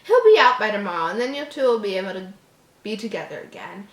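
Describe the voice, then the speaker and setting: Reassuring mother in a horror story, read by a woman, soft and plain, echoey room. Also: mid-range voice, big pitch swings, fast talker, close to an everyday voice. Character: adult mother gently reassuring her injured son.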